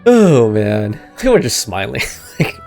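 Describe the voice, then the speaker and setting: A woman's voice: extremely low pitch, sing-song, slow pace, darkish tone. A woman giving casual gameplay commentary with amused, reactive asides. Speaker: gaming streamer. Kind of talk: casual game playthrough commentary. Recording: game music behind.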